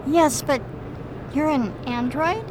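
A woman playing a calm, earnest female android partner in an audio drama, curious about humans, speaking in a measured way. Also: high voice, noisy background. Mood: earnest, inquiring.

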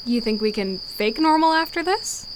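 A woman's voice: a little high, animated, normal speed, natural voice. A woman playing a wistful, affectionate female soldier in an audio drama. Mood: wistful, tender. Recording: clear background noise.

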